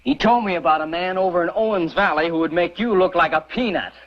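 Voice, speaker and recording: A man's voice: high voice, quick pace, close to an everyday voice. An actor playing an eager, lovestruck young mining camp man with an earnest, boyish manner in a vintage Western radio drama. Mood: eager, insistent. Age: young adult. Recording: muffled old radio recording, faint music.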